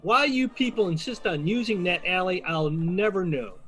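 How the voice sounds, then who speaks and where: A man's voice: high-pitched, animated, normal speed, sharp tone. A man playing an exasperated, officious department store manager who scolds staff in an audio drama. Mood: stern, accusing.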